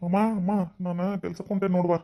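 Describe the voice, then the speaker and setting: A woman's voice: fairly low pitch, completely flat delivery, rapid-fire, lo-fi sound, voice pushed down, close to an everyday voice. A woman voicing a dutiful, placating adult son in an amateur cartoon, with a North Karnataka accent. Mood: brisk, placating. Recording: noisy, clicky home recording.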